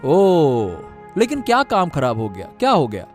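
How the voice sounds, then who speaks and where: A man voicing a concerned, excitable village man in a cartoon, questioning and emphatic. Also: fairly high pitch, moderate pace, soft background music.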